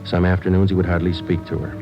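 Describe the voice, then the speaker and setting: An old, dull-sounding radio recording with music carries a very low male voice. It is a no-nonsense police sergeant, clipped and matter-of-fact, in a hard-boiled crime drama. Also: flat delivery, fast talker. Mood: flat, factual. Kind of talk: 1940s-50s radio police drama acting and narration.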